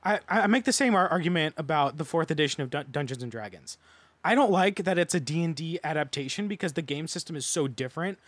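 A man with a notably high voice holds forth in a casual group discussion. He is a podcast panelist who gives opinions and swears freely. The sound is very dry, with no room on it. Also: animated, fast talker, fairly bright tone, dry recording.

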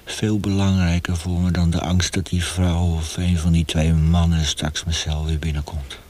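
An actor playing a feverish, tortured young male prisoner facing death in a radio drama, speaking haltingly with hushed passages. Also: deep voice, level tone. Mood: resigned, regretful.

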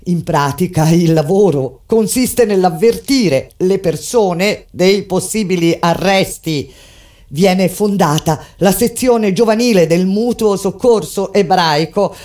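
A woman playing a grave resistance fighter, narrating a theatrical monologue in a solemn, deliberate and emphatic way. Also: fairly low pitch. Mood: grave, measured. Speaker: stage actress narrator. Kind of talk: dramatic theatrical monologue over live music. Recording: loud live music under the voice. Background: guitar and instrumental music.

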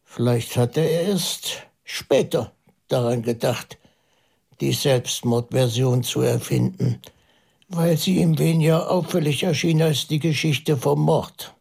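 An actor playing a pondering priest detective in a German radio play, methodical and intense, musing aloud with halting emphasis. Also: male voice, medium pitch, vocal fry, a little mellow, slightly rough, exaggerated voice. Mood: insistent, puzzled. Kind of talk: German radio play dialogue, deliberate monologue.

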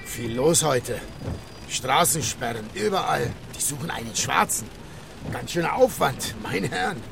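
An actor playing a grumbling, cursing bus driver in a German radio play, talking colloquially and muttering to himself. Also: male voice, mid-range voice, animated, measured pace, creaky. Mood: chatty. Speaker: actor in a radio crime drama. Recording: music and vehicle noise behind. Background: music, vehicle.

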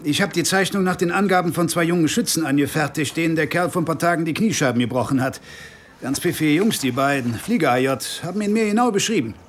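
An actor playing a gnarled, crafty army sergeant in a German radio drama, with a clipped, deferential military manner. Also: male voice, on the high side, fast talker, a little hiss or hum, close to an everyday voice. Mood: probing, matter-of-fact. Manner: military, clipped, matter-of-fact.

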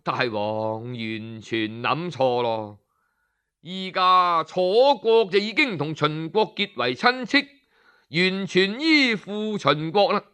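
A man telling a traditional historical tale in Cantonese, with a Cantonese accent, slowly and expressively acting out the characters' speeches. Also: sits high, clean tone. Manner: animated, slow, voices the characters' speeches. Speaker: storyteller. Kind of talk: Cantonese oral storytelling.

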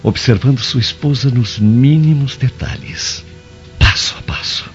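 An actor playing a sly, obsequious Roman officer in a Brazilian radio soap, with an oily, conspiratorial manner. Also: male voice, medium pitch, big pitch swings, a little slow. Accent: Brazilian Portuguese. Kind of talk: radio soap opera acting. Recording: dull lo-fi radio drama, music behind.

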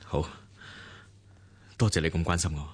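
An actor playing a calm, composed businessman in a radio drama, with a measured, reassuring Hong Kong Cantonese delivery. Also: extremely low pitch, slightly rough. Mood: tender, wistful.

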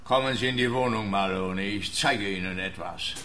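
A man playing a gruff old police officer in a radio play, officious and dry. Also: lowish voice, normal speed, pushed and bright, soft background music.

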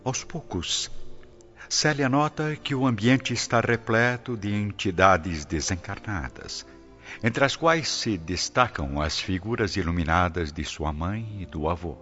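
A man with a Brazilian accent giving solemn, measured, scripted narration for a radio drama. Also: on the low side, expressive. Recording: dull lo-fi recording with music bed. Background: soft music.

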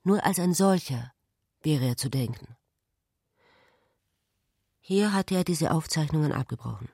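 A speaker reading a radio drama passage in a soft, partly whispered way. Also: medium pitch, expressive, unhurried, gravelly, breathy edge, no echo, studio quality.

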